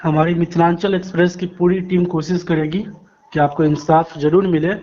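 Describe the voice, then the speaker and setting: A man's voice: fairly high pitch, steady tone, normal speed, a little mellow. A man with a Bihari accent asking questions as a news interviewer over the phone. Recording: dull lo-fi call recording.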